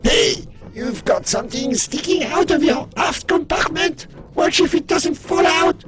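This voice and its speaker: A man's voice: extremely high pitch, lively intonation, measured pace, fairly bright tone. A man voicing characters in a hobby comic dub, theatrical and exclamatory, with some sound effects added. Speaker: amateur voice actor dubbing a comic. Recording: sound effects and faint music behind.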